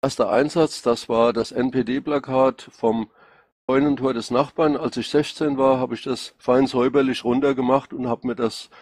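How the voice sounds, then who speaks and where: A middle-aged man speaks with a Rhine-Hessian accent that mixes Hessian and Palatine. His pitch is average and his delivery is halting and colloquial, like a candidate talking off the cuff in an online voice chat. The sound is dry and close, without room echo. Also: steady tone, darkish tone, breathy edge. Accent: Rhine-Hessian, mixing Hessian and Palatine. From Rhine-Hesse, Germany. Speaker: political candidate answering questions. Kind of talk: informal spontaneous self-presentation.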